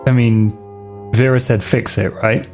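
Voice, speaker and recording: A man's voice: mid-range voice, fairly even intonation, measured pace, vocal fry, a little mellow, soft background music. A man playing a casual, stubborn vampire character who talks in blunt, informal bursts. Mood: insistent.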